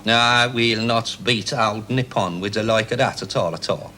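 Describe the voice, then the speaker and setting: A man's voice: lowish voice, moderate pace, pushed and bright. A man acting in a 1970s television drama as a domineering, sardonic, middle-aged research director with a curt manner. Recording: noisy 1970s television soundtrack.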